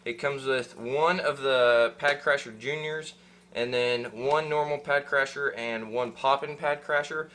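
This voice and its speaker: A man's voice: mid-range voice, steady tone, unhurried, a bit bright, slight background noise. Young man rambling through a casual unboxing. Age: young adult. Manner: rambling, many ums and uhs, restarts. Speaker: fishing channel host. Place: small room indoors, seated at desk.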